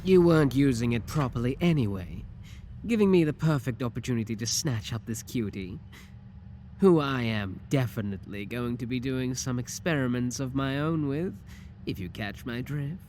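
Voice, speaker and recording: A man's voice: medium pitch, normal speed, a little mellow, slight background noise, natural voice. A male voice actor playing a shameless, flirty tiny clone scientist in an ASMR audio roleplay, teasing and suggestive in a theatrical way. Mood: smug, suggestive.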